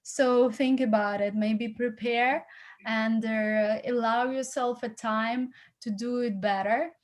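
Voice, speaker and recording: Woman, acting coach, encouraging, repeats herself, slightly high pitch. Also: fairly even intonation, slow. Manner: encouraging, repeats words, many fillers. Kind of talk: casual feedback on a video call.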